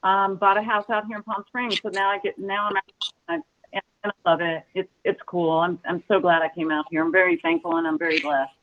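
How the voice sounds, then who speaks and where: A woman's voice: lowish voice, fairly even intonation, fairly quick, dry recording, narrow, tinny audio. A woman drummer from Texas with a slight Southern accent, talking in an enthusiastic, rambling way. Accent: slight Southern American. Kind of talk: casual interview.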